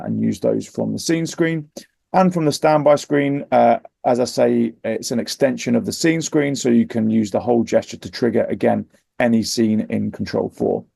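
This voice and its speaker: Male product presenter, heavy vocal fry, mellow and husky, informal and explanatory. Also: mid-range voice, dry recording, studio quality. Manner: explanatory, matter-of-fact.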